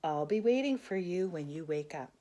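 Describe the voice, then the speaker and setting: A woman's voice: low-pitched, lively intonation, moderate pace, warm tone. A woman reading a storybook as a friendly male caterpillar, a caring and encouraging best friend.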